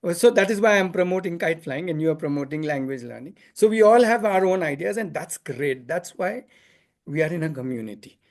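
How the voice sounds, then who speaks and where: A man leading a parents' meeting, explaining ideas in a joking, animated way. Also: a little high, a little fast. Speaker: community facilitator. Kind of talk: casual discussion.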